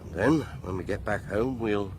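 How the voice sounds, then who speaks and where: A man's voice: lowish voice, moderate pace, noisy background, natural voice. An actor playing an arrogant older English father, stiff, curt and condescending, in a radio drama. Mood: grudging, dismissive. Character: arrogant, boorish older father, grudgingly making peace. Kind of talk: British radio drama acting.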